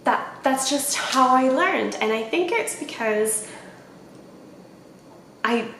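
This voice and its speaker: A woman's voice: high-pitched, expressive, slow pace, a bit bright, echoey room, slight background noise, rough recording. A woman in her thirties from Puerto Rico, a native Spanish speaker, chatting casually to camera as a podcast host and often correcting herself. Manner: chatty, rambling, self-correcting. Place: small indoor room with plain walls.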